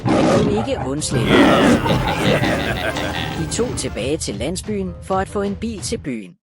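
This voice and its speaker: A narrator reads a scripted story for children with lively, varied intonation. The voice sits at a medium pitch, and loud music plays behind it.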